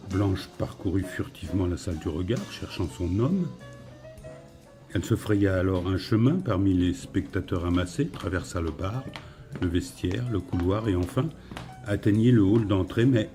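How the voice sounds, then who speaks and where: Male narrator for literary audio fiction: deep voice, soft dark tone, measured delivery, faint music underneath. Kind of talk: scripted literary storytelling narration.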